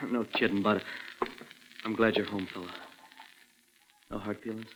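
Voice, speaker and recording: A man's voice: fairly low pitch, level tone, normal speed, background noise, natural voice. A man acting as a bitter young man wronged by his town, in 1940s radio drama style. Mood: subdued, reconciling.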